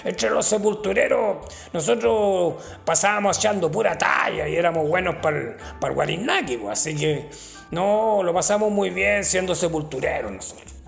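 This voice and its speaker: A man's voice: high voice, lively intonation, moderate pace, gravelly, soft background music, full character voice. An actor playing a rascally old Chilean working man and former gravedigger, tipsy, with a Chilean accent, telling folksy slangy boasts. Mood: boastful. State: tipsy from drinking. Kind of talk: comic character interview.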